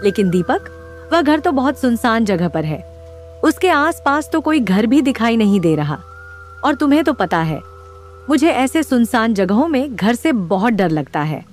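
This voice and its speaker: A woman's voice: fairly high pitch, big pitch swings, moderate pace, faint music behind. A woman playing a fretful, complaining, easily scared young woman in a horror audio drama. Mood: anxious.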